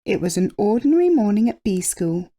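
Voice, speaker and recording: A woman storyteller reading a children's picture book expressively, with drawn-out emphasis and dramatic pauses. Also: mid-range voice, very animated, mellow.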